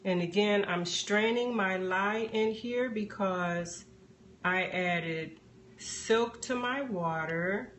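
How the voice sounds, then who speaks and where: A woman chatting casually on a live stream as she demonstrates a craft, unhurried and thinking aloud as she works. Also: medium pitch, fairly bright tone. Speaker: soap maker hosting a live stream. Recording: background noise, live phone stream.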